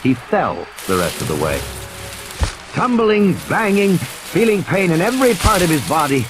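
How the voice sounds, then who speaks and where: A man narrating an audio play in a dramatic, suspenseful and deliberate storytelling style. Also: a little high, very animated, over music. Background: cricket-like night ambience sound effects.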